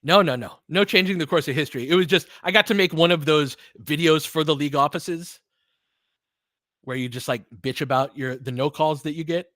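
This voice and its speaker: A man's voice: fairly high pitch, a little fast, fairly bright tone, no room sound, clean studio sound. A man doing informal, partisan live basketball commentary as a fan host, talking casually with some mild swearing.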